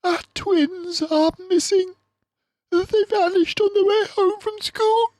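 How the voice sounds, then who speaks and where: A man doing a frantic mother mouse, shrill high pitch, tearful, slow lamenting delivery, storybook character. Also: a little mellow, no echo. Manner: tearful, wailing.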